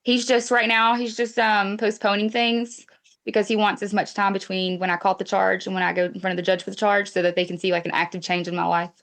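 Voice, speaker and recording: A woman's voice: medium pitch, level tone, a bit bright. Candid Southern woman in a podcast interview. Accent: Southern US (Mississippi). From Mississippi, USA. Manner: candid, conversational, halting restarts. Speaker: reality TV guest. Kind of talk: casual interview chat.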